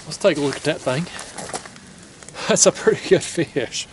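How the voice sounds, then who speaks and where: A man's voice: fairly high pitch, takes it slowly, a little hiss or hum. A young man with a Southern American accent, chatting to viewers in a folksy way while fishing from a riverbank at night, recorded on an action camera. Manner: folksy, talks to viewers as "y'all", chuckles often. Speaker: fishing vlogger. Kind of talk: casual outdoor commentary. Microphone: action camera on the bank.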